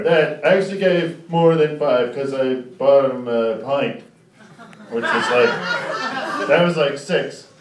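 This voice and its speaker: A man doing live stand-up storytelling, teasing and sarcastic as he rambles through casual banter. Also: sits high. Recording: roomy, distant, very noisy live club recording.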